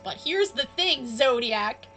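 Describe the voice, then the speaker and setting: Female live streamer: high voice, leisurely pace, casual and teasing, game soundtrack softly behind. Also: animated, takes it slowly. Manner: playful, teasing, chatting to viewers. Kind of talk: casual live stream chat and playful reactions. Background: game music.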